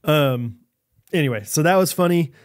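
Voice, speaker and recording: Thirties guy rambling on a podcast mic, lots of restarts, kinda high voice. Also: moderate pace. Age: 30s. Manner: rambling storyteller, frequent laughs and restarts. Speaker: podcast co-host. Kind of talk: casual chat. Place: home podcast studio. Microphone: studio mic on boom arm, headphones.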